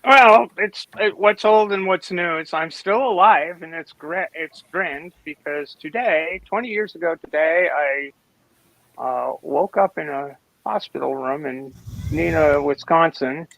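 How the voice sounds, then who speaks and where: A woman in her late sixties chatting on a video call, halting and wry, often restarting her sentences. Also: low voice, vocal fry, a bit bright, no echo, poor audio. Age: 60s. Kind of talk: casual group chat.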